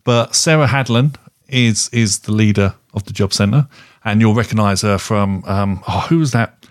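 A man co-hosting a podcast, chatting casually through a review in a halting way, often correcting himself. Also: lowish voice, no room sound, studio quality. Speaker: podcast co-host.

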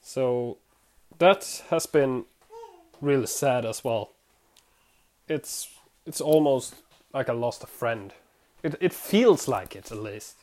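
A podcast host from Sweden, a native Swedish speaker with a Swedish accent, talking casually in English and often pausing to search for words. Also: medium pitch, animated, a little mellow.

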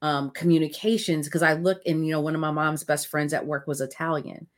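A woman's voice: low-pitched, steady tone, a little fast. An American woman hosting an interview podcast, building her questions thoughtfully with many fillers. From United States. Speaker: podcast host. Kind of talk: casual interview conversation.

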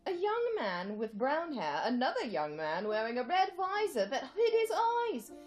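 A woman's voice: high voice, wildly swooping pitch, moderate pace, soft background music, pitched above the performer's own voice. A woman putting on the voice of a prim elderly British lady to read a story aloud, with a put-on British accent and a theatrical manner. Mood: storytelling. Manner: theatrical, giggling.

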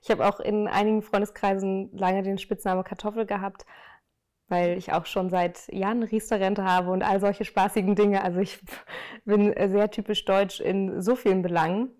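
A young German woman answering interview questions in a thoughtful, earnest way, often pausing on fillers. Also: medium pitch, little pitch movement, darkish tone. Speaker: interview guest. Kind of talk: reflective conversational interview.

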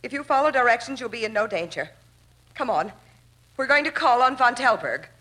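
Bright-voiced, scheming woman from 1940s radio drama. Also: a little high, expressive, a little fast, slight background noise, rough recording. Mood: grim resolve. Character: scheming, determined woman feigning grief, threatening at gunpoint.

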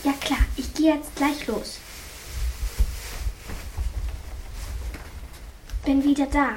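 A female amateur plays an eager girl detective in a radio play. Her voice is very high and plainly practical, over slight recording noise. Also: flat delivery, moderate pace, close to an everyday voice. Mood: alert. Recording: noisy amateur recording with clicks.

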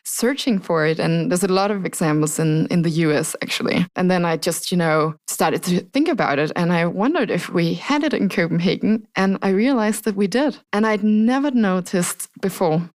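A woman's voice: lowish voice, darkish tone. Woman explaining earnestly. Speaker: interview guest, urban planner. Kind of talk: casual interview conversation.

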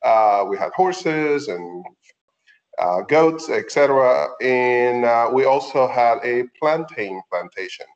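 A middle-aged man with a Puerto Rican accent and Spanish as his first language speaks in a conversational interview style. His pitch is average and his phrasing is halting. The recording is a little dull and lo-fi. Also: animated. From Puerto Rico. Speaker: veterinarian, podcast guest.

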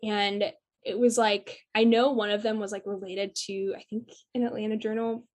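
A woman's voice: mid-range voice, flat delivery, normal speed, darkish tone. A young woman with a mid-range voice, chatting loosely. She wanders through her points and keeps saying "like" between phrases. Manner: rambling, heavy "like" fillers. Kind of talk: casual chat.

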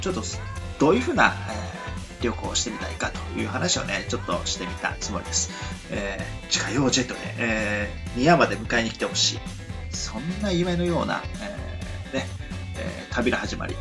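A man hosting a casual radio show in a chatty manner, tagging his phrases with frequent little particles. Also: lowish voice.

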